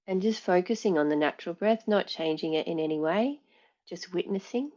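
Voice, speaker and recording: A woman teaching yoga nidra, guiding relaxation in a calm, soothing, measured way with long pauses. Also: lowish voice, muffled recording. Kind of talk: guided relaxation, meditation instruction.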